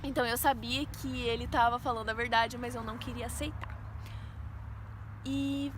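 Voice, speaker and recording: A woman's voice: fairly high pitch, fairly even intonation, normal speed, background noise. A woman in her twenties with a Brazilian Portuguese accent, earnestly telling viewers a personal faith testimony. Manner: earnest, storytelling, addresses viewers as "gente". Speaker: vlogger.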